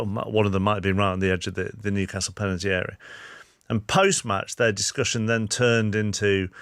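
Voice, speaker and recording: A man's voice: fairly low pitch, fairly bright tone, dry recording, clean studio sound. A man hosting a football discussion, putting questions to his panel and setting up talking points. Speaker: sports radio host.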